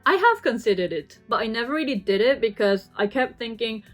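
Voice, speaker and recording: A woman's voice: on the high side, lively intonation, fairly quick, soft background music. A young woman from Japan, answering viewer questions in a casual, chatty way full of "you know" fillers. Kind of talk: casual Q&A chat.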